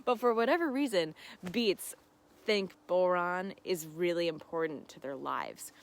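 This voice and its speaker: A woman's voice: on the low side, a little slow, creaky. A woman hosting a casual live gardening Q&A, talking in an effusive, affirming, giggly and conversational way. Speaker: gardening host answering live viewer questions.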